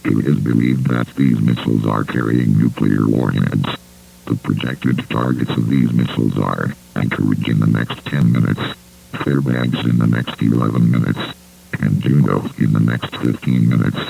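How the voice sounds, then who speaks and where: A man's voice: very deep voice, steady tone, normal speed, creaky, warm tone, a little hiss or hum, poor audio. A man reading a scripted emergency alert as a formal, impersonal announcer.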